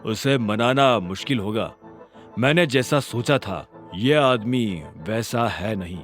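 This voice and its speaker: A man voicing a gruff, cautious male gang member who speaks with grave, weighty intensity in an audio drama. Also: mid-range voice, animated, takes it slowly, fairly bright tone, soft background music, voice pushed down. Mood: measured.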